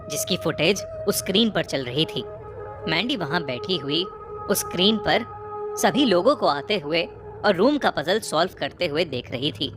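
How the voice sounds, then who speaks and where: A woman narrating a scripted horror story in a measured, suspenseful way, phrase by phrase. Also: low-pitched. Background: prominent music bed.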